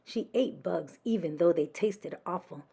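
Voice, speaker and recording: Female storyteller for children's read-aloud: unhurried, gravelly fry, slightly low register. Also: dark tone.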